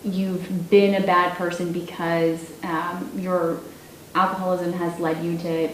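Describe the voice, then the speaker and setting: In an echoey room with faint noise, a woman interviewer asks questions. Her pitch stays flat and even as she speaks. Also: takes it slowly. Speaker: interviewer, reporter. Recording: echoey, distant, slightly noisy pickup.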